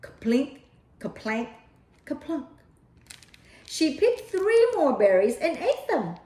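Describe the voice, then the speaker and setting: A woman's voice: high voice, sing-song, takes it slowly, warm tone. A woman storyteller reading a children's picture book aloud. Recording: roomy echo.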